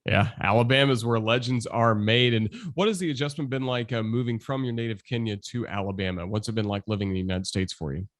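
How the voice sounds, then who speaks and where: A man hosting a sports radio interview, asking long questions smoothly. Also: lowish voice, steady tone, quick pace, hardly any pauses, no echo.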